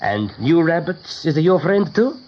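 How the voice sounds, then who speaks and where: A man's voice: a little high, big pitch swings, normal speed, slight background noise. A man playing a friendly, folksy little field animal in a radio play, speaking foreign-sounding broken English. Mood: friendly, chatty. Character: chatty, friendly little male field animal, rustic and grateful. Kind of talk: radio drama acting. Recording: dull 1980s radio recording.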